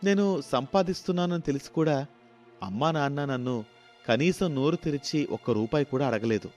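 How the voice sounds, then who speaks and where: A man's voice: a little high, normal speed, darkish tone, faint music behind, natural voice. A man voicing a caring, dutiful grown son with an earnest, pleading manner in a moral story. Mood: earnest concern.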